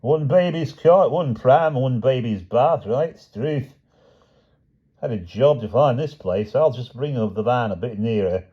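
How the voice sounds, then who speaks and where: A man's voice: mid-range voice, expressive, unhurried, slightly rough, highs cut off, pitched above the performer's own voice. A male narrator voicing a chatty, breezy delivery van driver.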